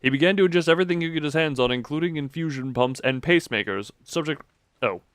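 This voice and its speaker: A man's voice: a little high, quick pace, no room sound, clean studio sound. A man giving casual, rambling live commentary as he plays a video game, with jokey exclamatory asides. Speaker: live streamer playing a horror game.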